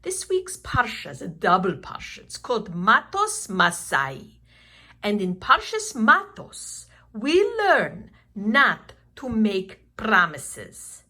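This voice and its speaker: A woman voicing a kindly, excitable uncle puppet in a children's show, exclaiming and teaching slowly. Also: mid-range voice, heavily acted. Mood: thoughtful. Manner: exclaiming, slow, instructive.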